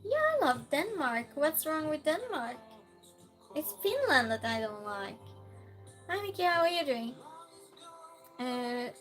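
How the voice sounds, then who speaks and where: A woman's voice: high-pitched, sing-song, takes it slowly, pauses often, fairly bright tone, faint music behind. A woman with a Norwegian accent, a native Norwegian speaker, chatting casually on a stream and reading out viewer comments. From Norway. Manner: chatty, reads out chat messages and asks would-you-rather questions.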